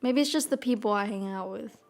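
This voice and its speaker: A woman's voice: mid-range voice, fairly quick, gravelly. A young woman with a mild Midwestern American accent, chatting casually in a bubbly, rambling way. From American South. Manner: bubbly, rambling, lots of "freaking" and "oh my God". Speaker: streamer. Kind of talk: casual chat. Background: soft piano music.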